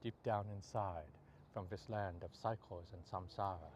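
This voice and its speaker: A man reading poetry aloud as a poet, in measured, deliberate short phrases. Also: deep voice, a little mellow, slight background noise. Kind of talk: poetry reading, recited free verse.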